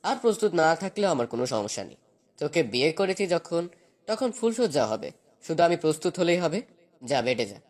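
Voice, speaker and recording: পুরুষকণ্ঠে অভিনীত ওঠানামা করা স্বরের কর্তৃত্বপরায়ণ তরুণ স্বামী. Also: male voice, on the high side, sing-song, fairly quick, darkish tone. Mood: menacing, jealous. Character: possessive, domineering young husband, a jealous university student. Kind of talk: dramatized romantic story dialogue.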